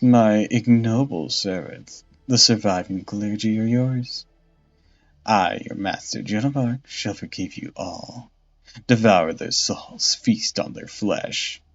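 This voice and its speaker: A man voicing a vengeful undead female saint who taunts her killer slowly and coldly. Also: lowish voice, fairly bright tone, breathy edge, heavily acted. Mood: commanding. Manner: slow, cold, mocking, theatrical. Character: vengeful undead woman saint, cold and mocking. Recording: dull lo-fi audio with faint music.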